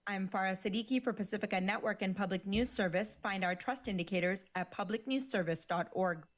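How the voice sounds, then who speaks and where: A woman newscaster reads a scripted bulletin. Her pitch is mid-range and her delivery is even and measured. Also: slight background noise. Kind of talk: scripted news read.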